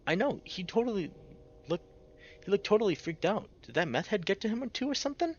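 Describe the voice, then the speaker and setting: A man's voice: sits high, big pitch swings, brisk, highs cut off, a little hiss or hum, pitched above the performer's own voice. A man voicing a laid-back male cat, a concerned friend with a casual, slangy way of talking, in a visual novel. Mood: puzzled, concerned.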